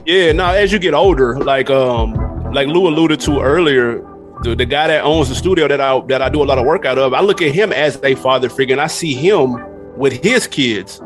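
A man's voice: medium pitch, moderate pace. Candid American man chatting over music. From Nashville, USA. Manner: candid, slangy. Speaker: podcast guest. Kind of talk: casual storytelling chat.

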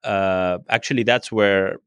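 A man's voice: on the low side, fairly even intonation, unhurried, a bit bright, clear, resonant tone, no room sound. A man from Syria whose native language is Arabic, answering interview questions conversationally and repeating words as he thinks. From Syria, raised partly in Spain. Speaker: interview guest.

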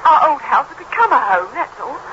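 An actress playing an anxious, faltering wife in a 1960s radio suspense drama. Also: female voice, sits high, sing-song, brisk. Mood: resigned, uneasy. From South Africa. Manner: faltering, repeating words. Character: frightened, anxious wife of a guilty man. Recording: dull, very hissy old radio recording.

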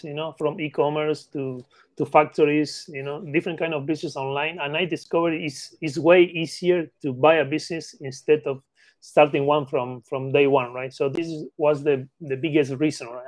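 Argentine man, eager, halting English. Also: on the high side, no echo. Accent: Argentine Spanish. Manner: eager, repeats phrases, halting non-native English. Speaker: guest, M&A expert. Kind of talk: casual interview talk.

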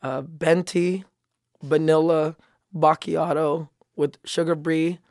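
A man's voice: on the high side, little pitch movement, slow pace, a little mellow, no room sound, clean studio sound. A man who is a podcast guest, talking in a comedic casual chat with teasing, joking remarks and playful swearing.

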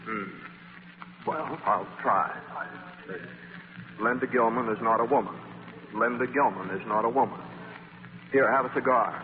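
An actor playing a wisecracking, charming, restless journalist and former suitor in 1940s radio style, stammering a little between flippant, self-mocking quips. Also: male voice, medium pitch, a little slow, long pauses, lightly acted. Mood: flippant, coaxing. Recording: hissy, tinny old radio broadcast, hall reverb.

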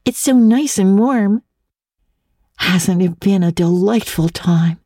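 A woman's voice: mid-range voice, slow, dark tone, no room sound, studio quality, pitched above the performer's own voice. A woman voicing a chatty, good-natured young girl in a storybook, with a chirpy, girlish manner. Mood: cozy.

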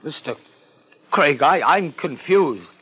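Jittery judge in vintage radio drama, raised pitch, slow halting delivery, evasive and formal. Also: male voice, animated, bright, forceful tone, husky, heavily acted. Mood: nervous, evasive. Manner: stammering, hesitant, formal wording. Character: nervous, evasive male contest judge under questioning. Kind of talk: 1950s American radio drama acting. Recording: vintage 1950s radio recording.

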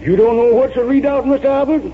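Very high-voiced Southern orderly. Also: male voice, fairly even intonation, quick pace. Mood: flustered. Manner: folksy, deferential. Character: earnest, folksy, deferential household orderly. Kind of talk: 1940s radio comic acting. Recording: tinny, noisy 1940s radio recording.

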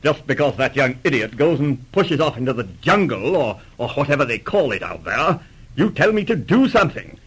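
A grumpy old English lord in a vintage radio play. He is pompous and indignant, spluttering his objections in a husky voice that leaps up and down. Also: mid-range voice, sing-song, moderate pace, fairly bright tone, heavily acted, low-quality recording. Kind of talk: 1940s radio drama acting.

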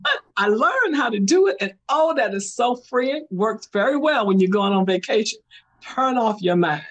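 A middle-aged woman, telling stories as a meditation guide in an interview, and she laughs often and slips into high-pitched voices when she quotes someone. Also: expressive, normal speed, highs cut off. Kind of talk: animated conversational storytelling.

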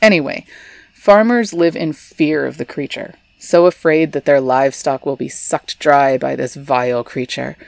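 A woman's voice: low voice, fairly even intonation, normal speed, gravelly, dry recording. Wry woman podcast host. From Texas. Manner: chatty, wry asides. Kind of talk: scripted storytelling podcast.

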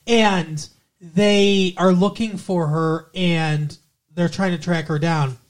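A man's voice: high-pitched, fairly even intonation, slow pace. Man rambling through a movie plot. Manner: rambling, restarts often, explains plot. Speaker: podcast co-host. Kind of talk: casual movie review chat.

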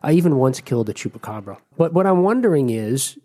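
A man's voice: mid-range voice, lively intonation, fast, warm tone. A man co-hosting a casual podcast, playing in a tabletop game and teasing his friends. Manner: joking, teasing, eager. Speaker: co-host, player.

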